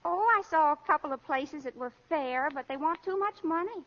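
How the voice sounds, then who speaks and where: Woman acting high, plaintive young radio wife. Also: moderate pace, natural voice. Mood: fretful. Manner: plaintive, indignant. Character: defensive, loyal young married daughter. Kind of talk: 1930s radio comedy-drama acting. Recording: dull old 1930s radio recording.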